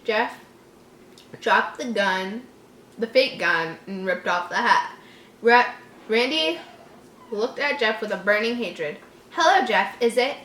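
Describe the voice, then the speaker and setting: A woman's voice: mid-range voice, lively intonation, takes it slowly, pauses often, a bit bright, roomy sound, a little hiss or hum. A woman reading a horror story aloud casually, giggly and reactive, breaking off to joke and comment.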